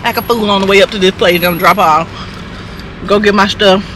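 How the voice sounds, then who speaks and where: A woman's voice: mid-range voice, fairly even intonation, quick pace, a bit bright, a little hiss or hum. A middle-aged woman vlogging casually to a phone camera, rambling and chatty, swearing offhand and laughing often. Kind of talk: casual vlog chat to camera.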